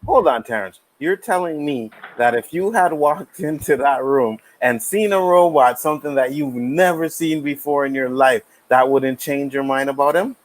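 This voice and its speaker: A man with a medium-pitched voice talks casually as one of several panelists on a review show. His delivery is conversational and unscripted. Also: normal speed, few pauses. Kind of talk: casual chat.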